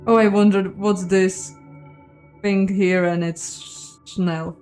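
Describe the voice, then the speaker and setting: A woman's voice: medium pitch, level tone, takes it slowly, darkish tone, faint music behind. A woman doing a game playthrough, mixing casual commentary with reading aloud from the game. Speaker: gameplay streamer reading all the parts.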